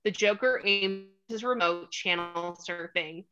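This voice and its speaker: A woman's voice: fairly low pitch, unhurried, muffled recording, over music. A woman reading stage directions as scripted narration at a table read.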